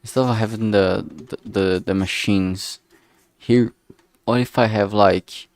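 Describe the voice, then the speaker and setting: A man's voice: lowish voice, steady tone, measured pace, no echo, studio quality. A man thinking aloud casually as he works, speaking hesitantly and often trailing off mid-thought. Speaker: streamer making a visual novel.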